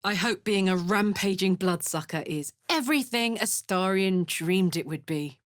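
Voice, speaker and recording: An actress playing a brash, warm-hearted, battle-hardened tiefling barbarian woman in a video game, blunt, cheeky and earnest. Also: mid-range voice, moderate pace, no room sound, clean studio sound, natural voice. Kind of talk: scripted game character voice lines.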